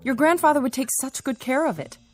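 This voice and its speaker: An actress playing a chatty, eager next-door neighbor in a radio drama, breezy and gushing. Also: female voice, sits high, lively intonation, a little fast, faint music behind. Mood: eager, delighted.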